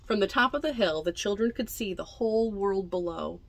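A woman's voice: medium pitch, normal speed, no room sound. A woman in her twenties reading a picture book aloud to children, expressively, with chatty asides. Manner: expressive, addresses young listeners directly. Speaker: library storytime reader. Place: indoors among library bookshelves.

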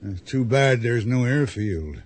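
A scheming hijacker from a radio drama. He speaks slowly in a mid-pitched, husky voice. He is self-assured and insinuating, leaning on pauses as he cajoles. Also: expressive, no echo. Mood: cajoling. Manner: deliberate, insinuating, theatrical pauses.

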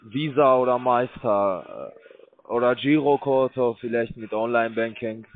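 A man cold-calling as a scam call center agent, pushing a sales pitch in broken grammar with hesitant fillers, heard over a tinny phone line. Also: measured pace, a little hiss or hum.

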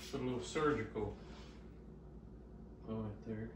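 A man's voice: on the low side, a little slow. An older man chatting casually in a home kitchen, with dry teasing humor. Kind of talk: casual chat. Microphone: far camera. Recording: echoey kitchen, camera far off, background noise.

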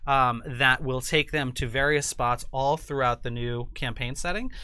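A man in his forties giving a casual product preview as a guest, thinking aloud haltingly and correcting himself. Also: medium pitch, pushed and bright, smooth, dry recording. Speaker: publisher and chief creative officer, guest. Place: indoor studio set with couches and curtain.

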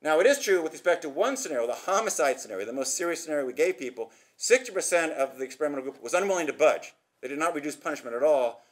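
A middle-aged man giving an academic conference talk at a podium, brisk and gesturing, posing rhetorical questions. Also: fairly high pitch. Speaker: conference speaker. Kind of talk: academic presentation. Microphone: podium microphone.

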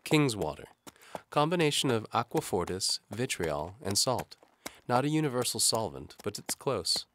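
Man voicing a resourceful woman, raised pitch, terse and matter-of-fact, audio drama, music underneath. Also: sing-song, moderate pace, fairly bright tone, a little hiss or hum. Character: resourceful young maid in disguise, brisk and practical.

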